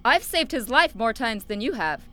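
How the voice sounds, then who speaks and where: Woman acting a combative starship doctor, sharp bright voice, fairly high, prim, sci-fi radio comedy. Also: big pitch swings, moderate pace. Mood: competitive. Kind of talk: parody radio play acting.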